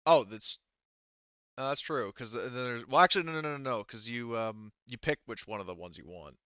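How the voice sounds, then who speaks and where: A man's voice: mid-range voice, a little fast, fairly bright tone, smooth, no echo. A man acting as game master, casually refereeing a tabletop game and thinking aloud in a hesitant way. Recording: band-limited, dull dry audio.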